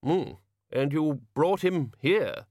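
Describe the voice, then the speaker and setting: A blustering old wizard chancellor, as a male narrator puts him on, booms his words out slowly and bluntly. The voice sits higher and louder than the narrator's own. Dry studio sound. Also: expressive, no room sound. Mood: gruff. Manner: bluff, booming, drawn-out.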